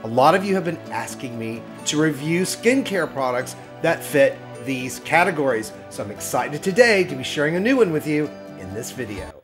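A man in his thirties talks straight to the camera from his home kitchen, reviewing skincare products with bright enthusiasm. His voice sits slightly high for a man and carries a nasal, scratchy edge left over from a recent cold. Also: big pitch swings, normal speed, few pauses, over music. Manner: upbeat, enthusiastic, addresses viewers directly. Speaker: skincare channel host. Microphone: camera at medium framing, seated facing lens.

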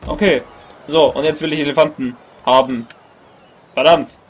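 A man's voice: on the high side, steady tone, normal speed, husky. A young man in his twenties casually commenting on a strategy game as he plays, thinking aloud, grumbling and correcting himself. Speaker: let's play gamer. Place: small room indoors at a computer.

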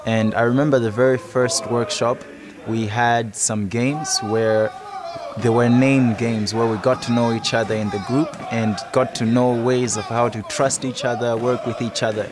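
A man's voice: medium pitch, fairly even intonation, moderate pace. A man in his twenties with a Malawian accent, speaking as a program participant in a documentary interview. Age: 20s.

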